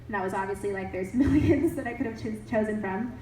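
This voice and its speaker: A young woman author with a cold, answering audience questions in an animated, upbeat way, laughing often. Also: medium pitch, quick pace. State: has a cold. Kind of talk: casual Q&A talk. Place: event hall. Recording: echoey room, mic from a distance, faint noise.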